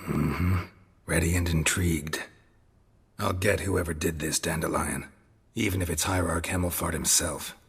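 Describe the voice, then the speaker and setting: An actor playing a stoic, gruff monster hunter in a video game, speaking tersely and deadpan in a gravelly voice. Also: male voice, measured pace, full character voice. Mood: wry, skeptical. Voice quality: gravelly, husky low voice.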